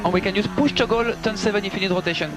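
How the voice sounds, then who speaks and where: A man with a fairly high voice works as a race engineer on team radio. He speaks in short, clipped instructions and repeats them. There is loud music-like sound and vehicle noise behind him. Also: very fast, poor audio.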